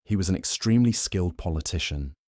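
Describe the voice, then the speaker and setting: Deep, calm man narrating for sleep. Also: no echo, studio quality. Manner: slow, measured and soothing. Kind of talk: calm scripted bedtime narration.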